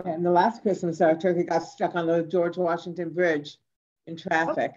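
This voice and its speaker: A woman's voice: on the low side, fairly even intonation, moderate pace, darkish tone. A woman chatting casually in a group discussion over a video call. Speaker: former member of a women's arts colony. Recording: slightly dull video-call audio.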